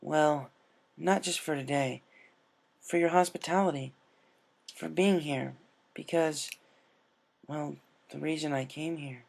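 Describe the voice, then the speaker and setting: A man voicing an excitable, earnest female cartoon pegasus pony with an emotional delivery in a story reading. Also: on the high side, takes it slowly, vocal fry, long pauses, voice pushed up, heavily acted. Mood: tender, vulnerable.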